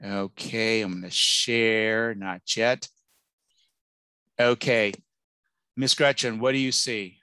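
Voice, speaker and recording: A man's voice: on the low side, steady tone, takes it slowly, pauses often, bright, forceful tone, smooth, no echo. A middle-aged man from Southern California giving a chatty, reassuring admissions talk to parents over a video call, with jokes and acted-out quotes. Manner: chatty, reassuring, jokey, acts out quoted voices. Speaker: college admissions speaker. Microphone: computer mic on a video call.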